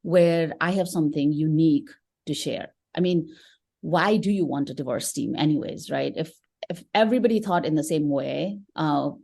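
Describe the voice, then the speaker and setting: A woman's voice: low-pitched, moderate pace, gravelly, mellow. A woman in her forties from Nepal, speaking earnestly and thoughtfully as an interview guest, often restarting phrases. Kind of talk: conversational interview.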